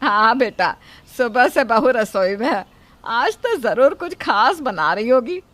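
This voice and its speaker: A woman's voice: fairly high pitch, very animated, a little slow, a bit bright, no room sound. A woman playing an older, thrifty mother-in-law, motherly yet scolding, with an emphatic theatrical delivery in a moral story. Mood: fond. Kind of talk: acted dialogue in a Hindi moral story.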